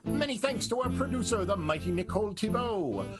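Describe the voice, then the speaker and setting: High-voiced male announcer with swooping delivery. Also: lively intonation, brisk. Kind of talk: jokey radio show sign-off. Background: loud guitar music.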